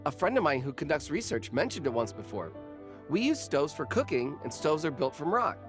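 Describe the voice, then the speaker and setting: A flustered, deferential warehouse worker, with a slightly low voice that moves around in pitch. The anime game delivery is polite and hesitant, over faint music. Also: female voice, big pitch swings, fairly quick. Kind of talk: anime video game voice acting.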